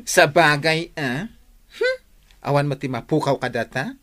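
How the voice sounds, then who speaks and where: A flamboyant, shrieking gossip. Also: male voice, sing-song, unhurried, fairly bright tone, no room sound. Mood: gossipy. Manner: flamboyant, shrill exclamations. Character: effeminate gossiping cousin. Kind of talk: radio drama acting.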